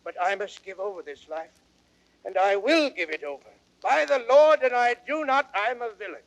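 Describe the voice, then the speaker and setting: A high-pitched, theatrical fat old rogue. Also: male voice, lively intonation, creaky, highs cut off. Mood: mock repentant. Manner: theatrical, drawn-out exclamations with chuckles. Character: fat old rogue knight, boozy, jovial thief. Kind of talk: old radio-style Shakespearean acting.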